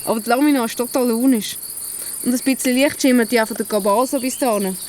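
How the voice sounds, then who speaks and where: Woman playing a high-voiced, wary Swiss German fugitive creature. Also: steady tone, fast talker, a little mellow, slight background noise, close to an everyday voice. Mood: cautious, explaining. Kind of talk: children's radio play acting.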